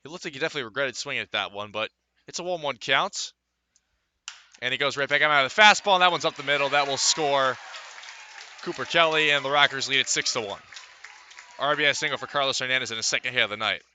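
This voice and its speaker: A man calling live baseball play-by-play for radio from a ballpark, in measured phrases with pauses between plays. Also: mid-range voice, sharp tone, a faint crowd, slight background noise. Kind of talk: live radio sports commentary.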